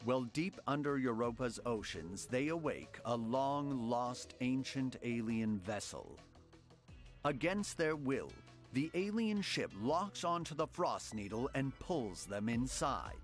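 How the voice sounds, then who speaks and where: An actor playing the grave, dramatic narrator of a heroic sci-fi game adventure, delivering a scripted intro. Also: male voice, mid-range voice, sing-song, slow pace. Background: music.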